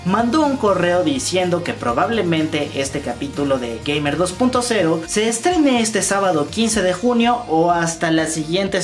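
A man, hosting a video of fan news and theory commentary, talking to camera in a small room. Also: a little high. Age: 20s. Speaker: YouTube host. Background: music.

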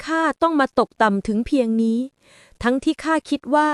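A woman's voice: high voice, fairly quick, smooth, no echo, studio quality, lightly acted. A woman voicing a crafty, self-pitying male merchant in a storybook tale. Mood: ashamed, despairing. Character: crafty male travelling merchant, evasive, then ashamed.

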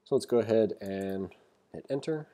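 A man giving a casual tutorial as an instructor, reading aloud and commenting informally as he thinks out loud. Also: lowish voice, normal speed. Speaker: programming instructor. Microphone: screen-recording voice-over.

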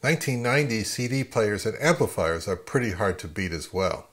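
A middle-aged man hosting an advice vlog, speaking to camera in an emphatic way with deliberate pauses. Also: lowish voice, animated, fairly bright tone. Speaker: host of an audio gear channel. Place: home listening room, later an open grassy field.

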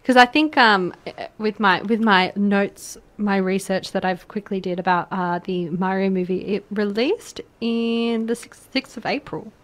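A woman with an Australian accent on a radio chat show, asking questions in a chatty way with many fillers and restarts. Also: mid-range voice. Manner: chatty, lots of fillers and restarts, quick to laugh. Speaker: guest interviewer asking questions. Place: community radio studio.

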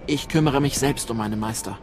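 An actor playing a scheming Sith agent in a game, talking in a sardonic, clipped, commanding way. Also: male voice, mid-range voice, brisk, darkish tone, slight background noise, lightly acted. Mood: urgent, guarded. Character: shrewd, scheming adult male Sith agent. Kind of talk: dramatic game voice acting.

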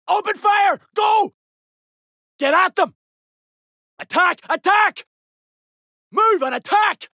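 Video game commando, Irish male: gruff soldier, high-pitched forceful delivery, brusque urgent callouts. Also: dry recording, clean studio sound, full character voice. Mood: furious battle shouting. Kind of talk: game combat voice lines, short barked callouts. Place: studio, dry close recording.

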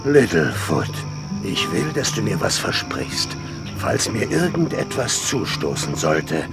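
Gravelly, ailing old grandfather dinosaur. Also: sits low, sing-song, takes it slowly, over music, heavily acted. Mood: weak, pleading. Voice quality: gravelly, weakened. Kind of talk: children's audio drama acting.

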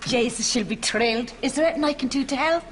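A woman's voice: sits high, fast, close to an everyday voice. A woman playing a chatty, nosy older neighbour with a Dublin accent in a television sitcom. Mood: eager. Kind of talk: studio sitcom acting.

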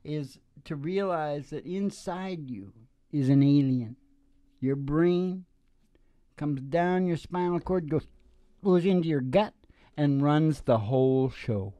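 A middle-aged man chatting casually as a show host, rambling in a folksy, halting, philosophising way into a stage microphone. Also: a little high, warm tone, no room sound. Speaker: host and singer of a father-daughter music podcast. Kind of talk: casual rambling banter between songs. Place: small dim curtained stage, indoors. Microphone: stage microphone at the mouth. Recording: dull lo-fi live recording with guitar. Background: acoustic guitar music.

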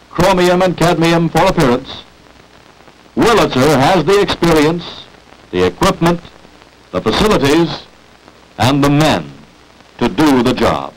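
An old 1940s film soundtrack carries an American man narrating an industrial promotional film. His voice sits a little high and moves with lively rises and falls. He phrases his script deliberately and emphatically, like a salesman making his case. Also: rough recording.

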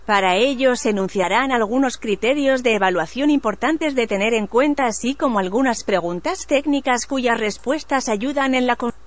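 Synthetic female reading voice, fairly high. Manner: synthetic, measured, impersonal. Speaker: text-to-speech reader. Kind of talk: reading a document aloud.

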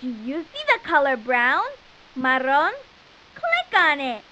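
A woman voicing a cheerful, encouraging little girl explorer in a children's game, speaking emphatically and clearly. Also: high voice, big pitch swings, muffled recording, exaggerated voice. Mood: friendly instructing. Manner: bright, emphatic, slow and clearly enunciated, exclaiming praise. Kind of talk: children's game voice acting, instructing the player.

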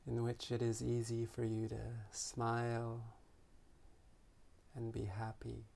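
A man guides a meditation in a gravelly, creaky voice. His delivery is very slow and gentle, with long pauses between phrases. The pitch stays flat and even throughout. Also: a little hiss or hum. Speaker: meditation teacher.

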